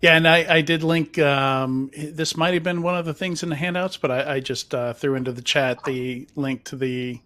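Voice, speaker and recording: A man's voice: a little high, normal speed, hardly any pauses, bright, forceful tone, no room sound. A man moderating a webcast panel over a video call, asking rambling questions full of fillers.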